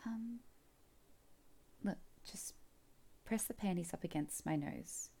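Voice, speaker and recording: A low, husky voice with a gravelly edge, a woman acting as a teasing, commanding mother in an audio roleplay. She coaxes softly and slips into whispers, heard up close on the mic. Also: takes it slowly, exaggerated voice. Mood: soft, coaxing. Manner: soft, coaxing, often whispered.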